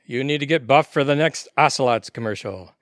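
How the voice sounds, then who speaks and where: An actor playing a cocky, blunt, bantering gym buddy in an audio comedy. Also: male voice, medium pitch, fairly quick, dry recording, clean studio sound, close to an everyday voice. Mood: teasing. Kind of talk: audio comedy drama acting.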